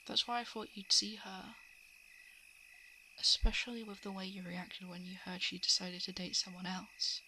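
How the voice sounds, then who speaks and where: A woman's voice: medium pitch, level tone, moderate pace, sharp tone. A woman playing a guarded, coolly predatory shapeshifting monster who eats hearts, in an ASMR roleplay monologue. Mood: reluctant, exasperated. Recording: clear background noise of night insects, frogs. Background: crickets, frogs and night insect ambience.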